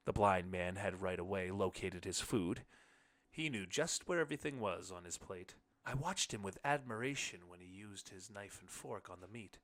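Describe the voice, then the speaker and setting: A man narrating a literary short story in the first person, with measured phrasing. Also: deep voice, a bit bright. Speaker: audiobook narrator.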